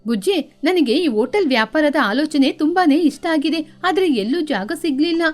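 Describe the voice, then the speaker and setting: A woman voicing a worried, good-hearted mother bird in an animated children's moral story, speaking earnestly and expressively. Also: high voice, fairly quick, soft background music.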